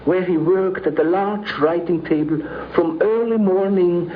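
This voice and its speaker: A man's voice: high-pitched, a little slow. A man giving a prepared first-person account of his memories on a 1950s radio program. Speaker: guest recounting memories. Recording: dull, noisy 1950s radio recording.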